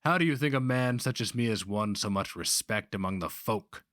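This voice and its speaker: A man's voice: lowish voice, lively intonation, normal speed, fairly bright tone, dry recording, clean studio sound, voice pushed up. A man voicing a canny, mocking older slaver and mentor in a dramatic fantasy reading, needling and emphatic. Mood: wry instruction.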